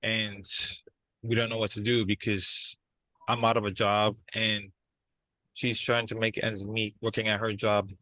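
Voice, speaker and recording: A man playing a jobless brother in a role-played counseling session, speaking in a defensive, halting way. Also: on the low side, level tone, sharp tone, no room sound, poor audio. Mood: worried.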